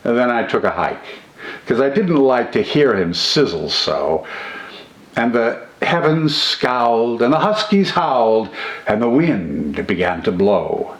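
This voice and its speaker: A man's voice: mid-range voice, very animated, unhurried. An older man reciting a narrative poem from memory with enthusiastic, theatrical delivery. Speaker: poetry reciter. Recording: roomy echo.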